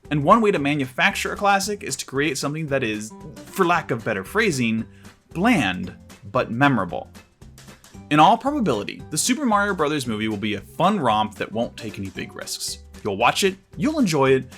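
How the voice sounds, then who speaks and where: Animated man narrating a video essay. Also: fairly high pitch. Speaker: video host and reviewer. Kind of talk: scripted video essay commentary.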